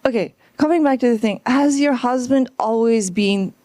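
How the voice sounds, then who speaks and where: A woman's voice: a little high, moderate pace, mellow, no echo. A woman hosting a casual chat interview in an effusive, informal, exclaiming way. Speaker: interviewer, host.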